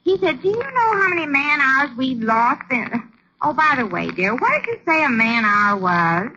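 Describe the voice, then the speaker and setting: A high, bright, swooping American housewife in a vintage radio comedy. She chatters naively and muddles her words, on a dull old recording with light crackle. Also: very animated, normal speed, heavily acted. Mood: innocently muddled. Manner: naive, chatty, malaprop-prone. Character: scatterbrained, chatty, innocently muddled housewife turned bus driver. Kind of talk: 1940s radio sitcom acting.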